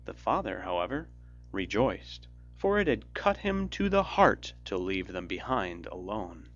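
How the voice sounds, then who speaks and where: A man narrating a fairy tale as a storyteller, in a measured, expressive storybook delivery. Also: fairly low pitch, highs cut off. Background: music.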